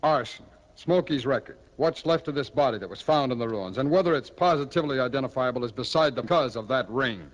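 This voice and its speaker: An actor playing a shrewd, weary police captain in 1950s radio drama, gruff, deliberate and plain-spoken. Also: male voice, medium pitch, expressive, close to an everyday voice, poor audio. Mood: grim. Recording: old radio recording, background noise.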